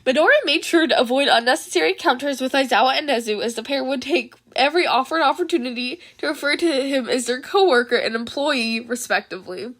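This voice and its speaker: A woman reading fan fiction aloud as an amateur narrator, with expressive storytelling and casual asides. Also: high voice, a little fast, hardly any pauses.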